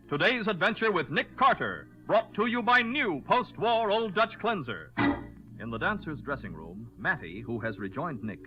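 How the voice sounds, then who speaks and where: Animated vintage male radio announcer. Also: on the high side. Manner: dramatic, emphatic. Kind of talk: 1940s radio scripted narration. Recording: muffled old radio broadcast with music.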